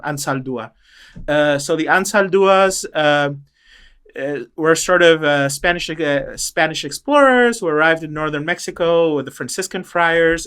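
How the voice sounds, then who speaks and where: A middle-aged man speaking as an interview guest, thoughtful and halting, with many restarts and fillers. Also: on the high side, pushed and bright, clear, resonant tone. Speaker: interview guest, choral director. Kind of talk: reflective interview talk.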